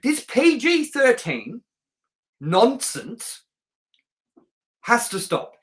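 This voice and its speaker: A man's voice: on the high side, a bit bright. A middle-aged man co-hosting a casual, sweary film review, speaking in an emphatic, opinionated way. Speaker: co-host of a film review show.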